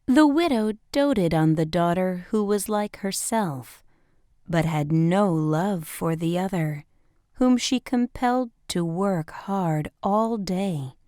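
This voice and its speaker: Woman reading a story, slow and lively. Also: lowish voice, no echo, studio quality. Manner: expressive, measured storybook delivery. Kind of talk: scripted children's bedtime story narration.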